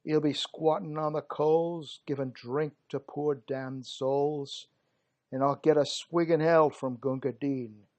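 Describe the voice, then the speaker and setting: A man reciting dramatic verse as a gruff working-class British soldier of colonial India, theatrical and emphatic, with slangy, clipped word endings. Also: a little high, steady tone, slow, darkish tone. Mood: grave, fervent. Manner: theatrical, emphatic, dropped g's and slangy phrasing.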